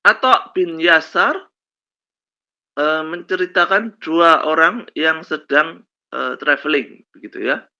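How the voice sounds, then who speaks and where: A male lecturer with a slight cold, telling stories slowly with many fillers in a lesson on Islamic law. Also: on the high side, sharp tone. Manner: slow storytelling with many fillers and "kan begitu ya". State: has a cold, coughs. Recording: dull lo-fi recording.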